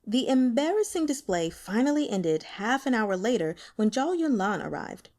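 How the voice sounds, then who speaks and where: A woman narrating a novel as an audiobook reader, in an expressive scripted style. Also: on the high side, normal speed.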